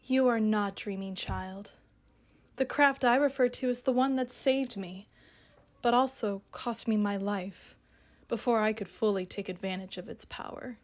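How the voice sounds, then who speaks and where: A woman playing a vengeful ghost of a wronged woman, speaking in hushed, solemn tones in a radio drama. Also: medium pitch, measured pace, natural voice. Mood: ominous.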